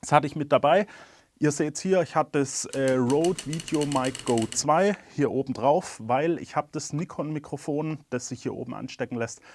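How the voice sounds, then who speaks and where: A man's voice: on the high side, moderate pace, a little hiss or hum. A man with a cold, candidly talking through his camera gear in a casual review. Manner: candid, chatty, plain-spoken. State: has a cold.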